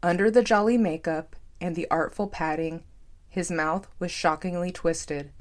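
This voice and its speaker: A woman's voice: on the low side, steady tone, measured pace. A woman reading a scripted story aloud as an audiobook narrator.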